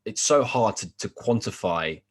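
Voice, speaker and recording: Man, podcast host, low voice, friendly, rambling, restarts. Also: animated, unhurried. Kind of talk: podcast interview.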